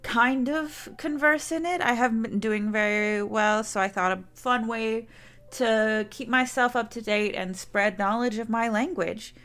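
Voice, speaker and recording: A woman's voice: mid-range voice, a bit bright. A woman streamer chatting casually to her viewers, rambling and often restarting her sentences. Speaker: game streamer.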